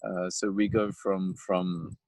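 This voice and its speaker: A man with a South African accent, a winemaker talking casually and haltingly with many fillers while standing outdoors in a vineyard, tired and coughing now and then. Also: low-pitched, little pitch movement, a little mellow, slight background noise.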